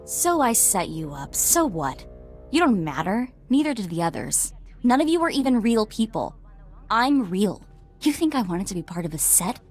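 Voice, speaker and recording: A woman's voice: mid-range voice, sing-song, moderate pace, a little mellow, soft background music. A woman voicing a defiant, self-important young clone in a video game, with intense, contemptuous delivery. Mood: bitter, scornful.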